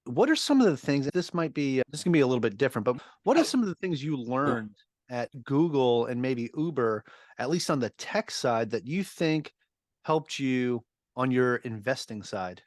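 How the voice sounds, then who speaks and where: A man's voice: mid-range voice, lively intonation, normal speed, no echo, clean studio sound. A man hosting a podcast, joking and chatting casually with his guest. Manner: jokey and friendly, casual fillers. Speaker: podcast host. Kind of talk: conversational interview.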